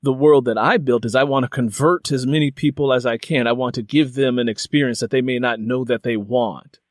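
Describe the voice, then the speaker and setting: Guy hosting a chat, medium pitch, rambly storyteller, says you know a lot, no room sound. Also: steady tone, a little fast, no echo, clean studio sound. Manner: meandering, frequent "you know". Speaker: interviewer and host. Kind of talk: casual interview with personal storytelling.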